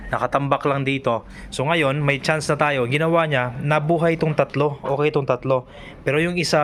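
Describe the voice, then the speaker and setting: Filipino man vlogging casually over clear noise. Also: mid-range voice, a bit bright. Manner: informal, addressing viewers and giving instructions to helpers. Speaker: vlog host. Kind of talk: casual vlog chat.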